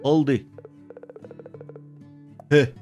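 A man's voice: mid-range voice, steady tone, a little mellow, soft background music. Man putting on a slangy laid-back punk pig. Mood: bragging, hyped. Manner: slangy bro talk with drawn-out boasting. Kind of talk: voiced-over video game dialogue.

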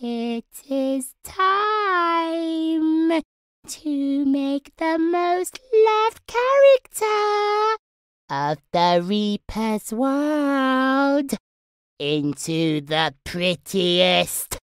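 A woman's voice: high voice, big pitch swings, extremely slow, dry recording, clean studio sound, exaggerated voice. A woman playing a gleeful, sinister demonic entity in a horror audio roleplay, taunting in a singsong, menacing way. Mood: hungry glee.

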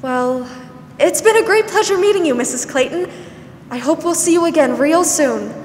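A young woman playing a warm, pleasant, fussing woman of sixty in a 1940s-style radio drama on stage. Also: high voice, lively intonation, normal speed. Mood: gracious, regretful. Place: large theatre stage, indoors. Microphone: stand microphone, masked. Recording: echoey stage hall, faint noise.

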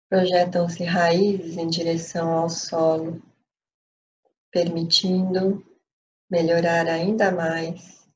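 A woman with a Brazilian accent leading a guided meditation in a calm, unhurried way with pauses. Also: on the low side. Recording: dull lo-fi audio, faint noise.

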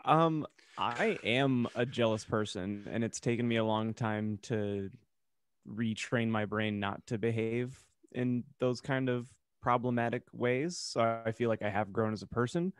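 A man's voice: medium pitch, measured pace, clean tone, dry recording. A man in his thirties co-hosting a podcast, talking casually in a reflective, earnest way into a close microphone at home. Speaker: podcast co-host. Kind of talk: casual conversation. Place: home room, indoors.

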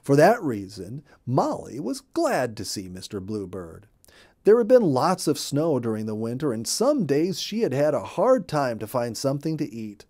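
A middle-aged man narrating a children's story in an expressive storybook manner. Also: mid-range voice, moderate pace. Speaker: storyteller, audiobook reader. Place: indoor room.

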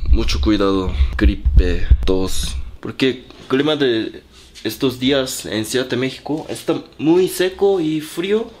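Young man, Korean native, unhurried vlog chatter in simple Spanish, slight cough; echoey room with noise. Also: mid-range voice. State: lingering cough. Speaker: vlogger. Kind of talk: casual vlog narration while cooking. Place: small apartment room.

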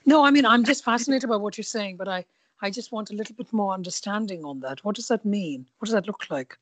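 A woman's voice: medium pitch, quick pace. A woman from India hosting an interview podcast, asking curious follow-up questions and often repeating words as she goes. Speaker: podcast host.

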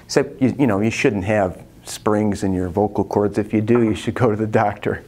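A man's voice: lowish voice, fairly even intonation, moderate pace, darkish tone, slight background noise. A middle-aged American man presenting a casual science demonstration in a colloquial, playful way with joking asides. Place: classroom laboratory, indoors. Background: music.

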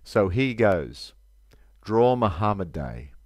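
A man with an Australian accent giving a measured, deliberate scripted voice-over. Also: on the low side, big pitch swings, darkish tone. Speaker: video narrator.

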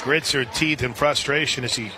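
A man working as a radio play-by-play announcer, calling a live softball game. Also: medium pitch, normal speed. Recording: outdoor stadium crowd noise behind. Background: ballpark crowd and outdoor ambience.

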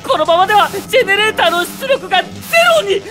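A woman's voice: very high voice, fast talker, music in the background, a crowd in the background. A woman voicing an anxious alien starship officer in an anime drama CD, giving dutiful reports and stammering in alarm.

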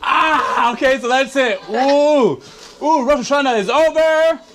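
A man's voice: very high-pitched, measured pace, loud music behind the voice. A young man filming a jokey handheld travel vlog, laughing and chattering as he moves through airports. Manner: excitable, laughing, joking. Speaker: vlogger. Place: airports and a train. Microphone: action camera held close.

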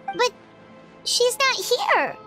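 A woman voicing a tiny childlike fairy companion in a cute anime game, with a squeaky voice. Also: wildly swooping pitch, drawn-out words, music in the background, exaggerated voice. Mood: puzzled.

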